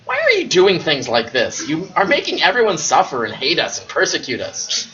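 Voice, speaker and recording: A man's voice: a little high, huge pitch swings, normal speed, sharp tone, lo-fi sound, slight background noise, full character voice. A man doing a reproachful father. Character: scolding father figure.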